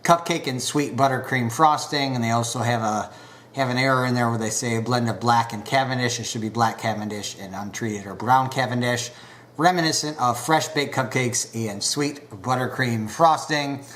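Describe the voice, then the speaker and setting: A man casually reviewing pipe tobacco, unhurried and full of filler pauses, a bit stuffed up from a recent cold. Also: medium pitch, fairly bright tone, a little hiss or hum.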